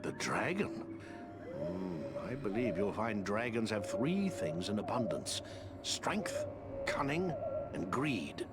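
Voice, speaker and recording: A gentle, eccentric old wizard in a fantasy video game. His pitch swoops up and down widely as he muses in a slow, kindly, whimsical way. Loud music sits behind him.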